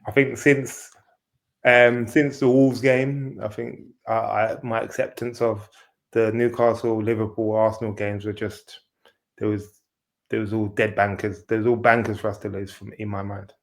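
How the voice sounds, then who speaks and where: A man talking football as a guest fan in a casual debate, laid-back and resigned, low on energy. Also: level tone, normal speed, gravelly. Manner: laid-back, resigned, says bro a lot.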